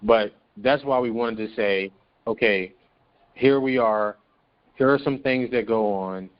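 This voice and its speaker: A man speaking as a guest in a panel discussion, measured and explanatory. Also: lowish voice, dull audio. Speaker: podcast guest from a stage play production.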